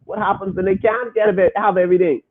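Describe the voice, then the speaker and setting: High-voiced man, tinny phone sound. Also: steady tone, fast talker. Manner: emphatic, preachy, addresses listeners directly. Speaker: podcast host. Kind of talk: casual commentary, reading listener comments. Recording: phone-like, narrow band.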